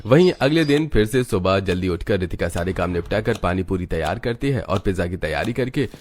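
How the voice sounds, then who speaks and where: A man's voice: deep voice, expressive, fairly quick, darkish tone, slight background noise. A man narrating a scripted moral story as its storyteller.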